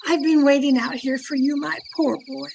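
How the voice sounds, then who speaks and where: A woman's voice: sits high, fairly even intonation, normal speed, gravelly, a little mellow, a little hiss or hum. A woman playing a melodramatic, self-righteous older aunt in a radio comedy, with a theatrical delivery, recorded over a video call. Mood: earnest, prying. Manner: theatrical, dramatic. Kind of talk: old-time radio comedy acting.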